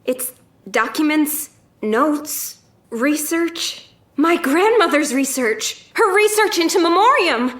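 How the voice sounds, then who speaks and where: A woman's voice: high-pitched, expressive, takes it slowly, fairly bright tone, heavily acted. A woman playing an earnest young woman in a scripted audio drama, heard with a roomy echo. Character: earnest young woman seeking justice for her grandmother.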